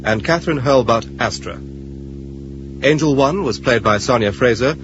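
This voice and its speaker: A man's voice: medium pitch, very animated, unhurried. Male radio announcer over prominent music. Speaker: closing announcer. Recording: dull band-limited old radio recording. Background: plucked string music.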